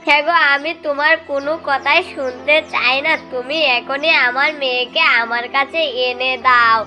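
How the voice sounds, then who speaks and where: A woman acts a distraught village mother in a cartoon. Her voice is very high and bright, anxious and pleading, over faint music. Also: steady tone, moderate pace. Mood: demanding, upset. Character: distraught farmer mother missing her daughter. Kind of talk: children's cartoon dialogue.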